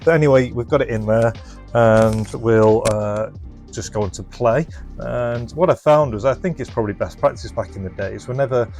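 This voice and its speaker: A man's voice: medium pitch, moderate pace, a little mellow, faint music behind. A man casually presenting a hands-on product demonstration, explaining informally and thinking aloud as he goes. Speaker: presenter and reseller of restored retro electronics.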